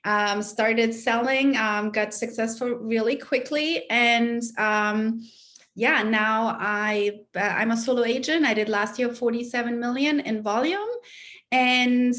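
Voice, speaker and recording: A woman's voice: a little high, fairly bright tone. A middle-aged woman from Germany talking casually and plainly about herself as a guest on an online panel. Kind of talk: casual, unscripted self-introduction. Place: online video call.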